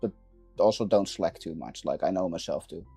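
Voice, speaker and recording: A man chatting casually while working on a build, thinking aloud in a halting way and repeating words. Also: low voice, creaky, dark tone, husky, soft background music. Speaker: maker livestreaming a 3D printing build.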